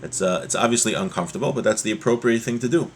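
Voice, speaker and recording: A man giving a religious lesson as a teacher, explaining a text point by point and repeating key ideas, in a husky voice. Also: on the low side, very fast, a little hiss or hum. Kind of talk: Torah lesson, reading Hebrew text and explaining it.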